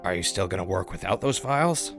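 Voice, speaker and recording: A man playing a wry post-apocalyptic radio host, reading scripted news in a folksy, measured, conversational way. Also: deep voice, lively intonation, over music. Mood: wry, casual.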